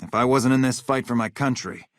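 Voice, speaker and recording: An actor playing a hard-bitten, vengeful military officer in a video game, blunt, profane and matter-of-fact. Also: male voice, medium pitch, fairly even intonation, fairly quick, no echo, clean studio sound, close to an everyday voice. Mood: grim, warning. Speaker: game character, army officer.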